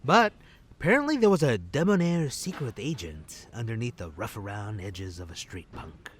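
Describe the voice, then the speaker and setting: A man's voice: on the low side, sing-song, moderate pace, a little hiss or hum. An actor playing a brash, wisecracking male secret agent with a street past, dramatic and slangy in an audio drama. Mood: guarded, confiding.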